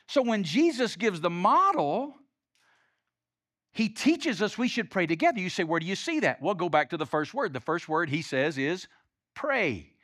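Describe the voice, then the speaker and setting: Southern preacher with a high, bright voice. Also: male voice, very animated, normal speed, no room sound, clean studio sound. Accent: Southern US (Alabama). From Alabama, US. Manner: preaching with rising and falling intensity, emphatic, conversational asides. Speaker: pastor. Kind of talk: sermon.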